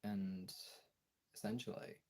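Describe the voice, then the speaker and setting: A young man streamer talking casually and frankly in a gravelly, husky voice, halting at times and swearing freely. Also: fairly low pitch, steady tone, dark tone. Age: young adult. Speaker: streamer and voice actor chatting to followers. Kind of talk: casual candid chat.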